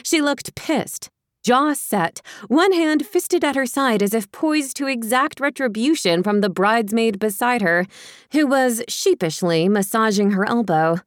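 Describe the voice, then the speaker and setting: A woman reading a romantic comedy audiobook with wry, expressive, dramatic phrasing. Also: a little high, measured pace, no room sound, studio quality. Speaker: audiobook narrator. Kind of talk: scripted fiction narration, romantic comedy.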